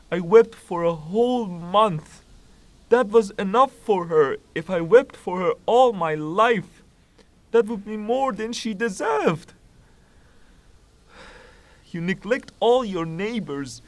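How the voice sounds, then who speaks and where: Guy acting old manservant in an audio play, high voice, very dry sound, fussy n pleading with his mistress. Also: dry recording. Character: old widowed male servant, fussing and plain-spoken. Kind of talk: theatrical audio drama acting.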